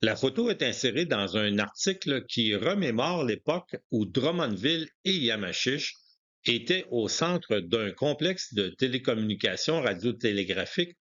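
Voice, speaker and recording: A man delivers scripted documentary narration about the past in a mid-pitched voice. The recording is dull and lo-fi, with limited detail in the sound. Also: a bit bright.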